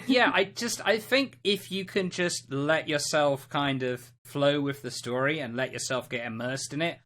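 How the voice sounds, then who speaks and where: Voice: medium pitch, a bit bright. A podcast co-host talking casually and emphatically about games, opinionated and dotted with conversational asides. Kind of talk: casual video game discussion.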